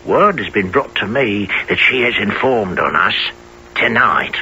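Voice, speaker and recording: An actor playing a sly, wheedling, menacing criminal with an insinuating, mock-affectionate manner in a radio play. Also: male voice, fairly low pitch, animated, a little slow, bright, forceful tone, heavily acted. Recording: dull, noisy old radio recording.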